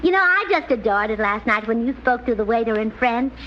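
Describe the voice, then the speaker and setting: An actress playing a scatterbrained, cheerfully illogical American wife, chirpy and guileless, in a 1940s radio comedy on a muffled, noisy old recording. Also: female voice, fairly high pitch, lively intonation, fairly quick, lightly acted. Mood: proud, chirpy.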